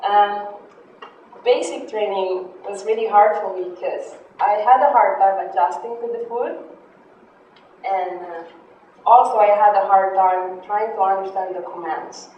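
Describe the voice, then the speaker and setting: A woman's voice: medium pitch, unhurried, slight background noise. Woman from the philippines, filipino first language, rambling talk full of fillers, heard from afar in a very echoey room. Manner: rambling, many fillers and restarts, laughs often. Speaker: military member giving a workshop talk. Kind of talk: personal anecdote speech. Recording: distant, dull, echoey room recording.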